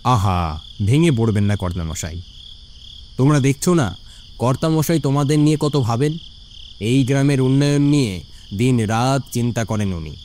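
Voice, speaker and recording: A man acts out a headman's lackey in a cartoon. He fawns and scolds in a medium-pitched voice. Faint crickets and frogs sound behind him. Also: darkish tone, slight background noise, lightly acted. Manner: sycophantic, reproachful. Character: fawning sidekick of a village headman, scolding. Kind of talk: cartoon voice acting.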